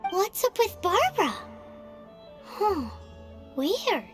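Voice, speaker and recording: A woman voicing a tiny, excitable, chatty childlike fairy companion in an anime-style video game. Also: very high voice, slow pace, heavily acted. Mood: puzzled. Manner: speaks of self in third person, exclaiming. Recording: music behind.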